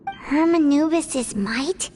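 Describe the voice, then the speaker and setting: A woman's voice: sits high, slow, a little mellow, soft background music. A woman voicing a small, young female companion in a video game. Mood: puzzled. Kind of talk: dramatic video game voice acting.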